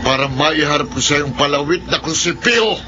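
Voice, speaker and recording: A man's voice: fairly high pitch, lively intonation, fairly quick, very noisy, close to an everyday voice. An actor with a Filipino accent playing a righteous father who battles a demon, declaiming emphatically in a horror radio drama. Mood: defiant resolve. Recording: dull radio audio under loud music.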